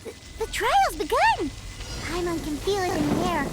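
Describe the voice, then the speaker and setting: A woman voicing a tiny, chatty, childlike floating companion in a video game, squeaky and excitable. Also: moderate pace, loud music behind the voice, heavily acted, rough recording. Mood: excited.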